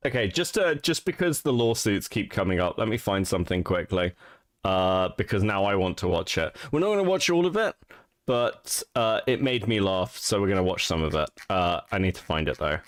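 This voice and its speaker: A man's voice: low-pitched, very animated, normal speed, no room sound, studio quality. Incredulous, swearing man reacting to clips. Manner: incredulous and profane, laughs often. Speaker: host.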